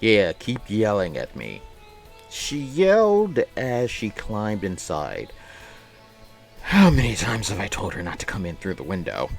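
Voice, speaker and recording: Low-voiced man, animated livestream chatter. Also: unhurried, gravelly, music in the background. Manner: rambling, playful, chatting with viewers, repeats phrases. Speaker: live streamer. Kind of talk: casual livestream chat and reading a visual novel aloud.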